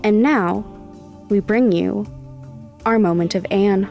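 A woman's voice: mid-range voice, slow pace, darkish tone. A woman presenting a podcast, reading scripted announcements and credits. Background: faint music.

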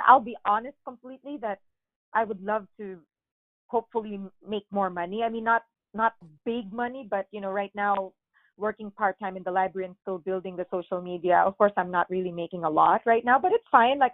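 Filipina guest, middle-aged, animated and chatty, phone-quality sound. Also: female voice, medium pitch, no echo. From Philippines. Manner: animated storyteller who acts out quotes, many "like"s. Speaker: podcast guest. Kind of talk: casual chat.

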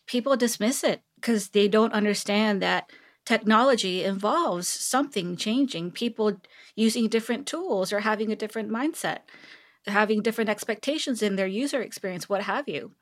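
A woman's voice: mid-range voice, normal speed. A middle-aged woman from Seoul speaking conversationally as a guest in an interview, given to laughing. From Seoul, South Korea. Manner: laughs often. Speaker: podcast guest, technology executive.

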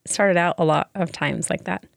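A woman's voice: lowish voice, a little fast, creaky, dry recording, clean studio sound. A young adult woman, co-hosting a podcast, teasing her partner in giggly casual chat. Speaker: podcast co-host.

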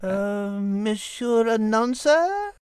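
A woman's voice: a little high, sing-song, extremely slow, darkish tone, clear, resonant tone, no echo, studio quality, heavily acted. A woman voicing a curious, refined female animal with a French accent, polite and precise. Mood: courteous.

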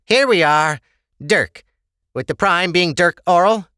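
A man's voice: high-pitched, slow pace, sharp tone, smooth, no echo, clean studio sound, pitched above the performer's own voice, full character voice. A man voicing an unruffled female market official with an officious, unvarying manner, in an audiobook story.

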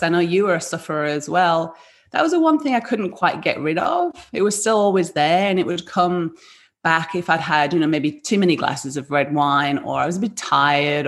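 A woman in her forties from the northeast of England with a Yorkshire-tinged northern accent, chatting as an interview guest, upbeat and full of fillers and restarts. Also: on the low side. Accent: Yorkshire-tinged northern English. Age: 40s. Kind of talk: casual interview chat.

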